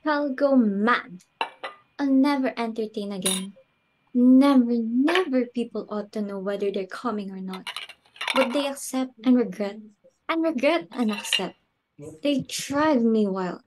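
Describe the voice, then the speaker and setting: A woman's voice: fairly high pitch, measured pace, noisy background, close to an everyday voice. A woman playing a flustered, snobbish society hostess and mother in an amateur dramatic reading, exclamatory and imperious. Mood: exasperated, frantic.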